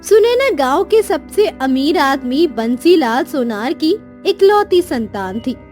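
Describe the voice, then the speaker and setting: A woman with a very high voice reads a scripted story. Her narration is slow and lively in pitch, over faint music.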